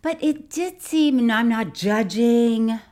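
A woman's voice: a little high, animated, slow, darkish tone. A woman hosting a comedy podcast, chatting casually in an amused, teasing way. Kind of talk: casual comedic chat about a documentary.

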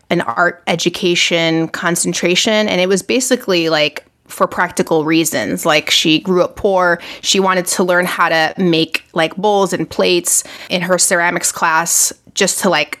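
A woman physician from Argentina speaks in a loose, conversational way, leaning on "like" and "you know" and often starting her sentences over. Her voice sits a little on the low side for a woman. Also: fairly bright tone. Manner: chatty, heavy use of "like" and "you know", frequent restarts. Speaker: physician, podcast guest. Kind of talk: casual conversational interview.

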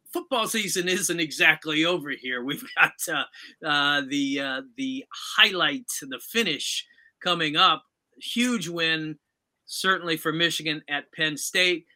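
Guy hosting sports talk, super bright sharp voice, on the higher side, chatty interview style. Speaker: sports show host.

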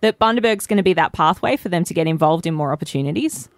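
A woman's voice: on the low side, expressive, fast, dry recording. A woman co-hosting a radio show, chatty and wry in her banter. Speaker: radio co-host. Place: radio studio.

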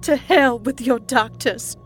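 A woman narrator voicing a fanatical, mocking woman patient in religious ecstasy, proclaiming in drawn-out phrases for an audiobook. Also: high voice, soft background music, pitched above the performer's own voice. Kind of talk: dramatic audiobook character acting.

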